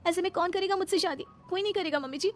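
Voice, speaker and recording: A woman's voice: very high-pitched, very fast, slight background noise. A woman voicing a dutiful, sorrowful young widow of 25 in a cartoon family melodrama, pleading and deferential, with music behind her. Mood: bitter, hurt. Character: sorrowful young widowed daughter-in-law, 25, dutiful and self-effacing.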